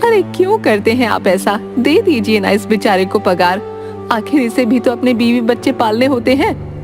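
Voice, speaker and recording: A woman's voice: on the high side, lively intonation, moderate pace, over music, lightly acted. A woman voicing an exasperated, nagging village wife in a cartoon story, scolding shrilly. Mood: pleading, reproachful. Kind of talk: animated moral-story cartoon acting.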